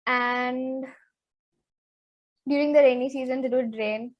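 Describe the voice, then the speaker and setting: A woman's voice: sits high, takes it slowly, highs cut off, very noisy. Chatty teenage girl. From Zambia. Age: teens. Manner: chatty, animated, says "like" a lot. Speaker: co-host, interviewee. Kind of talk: casual chat podcast.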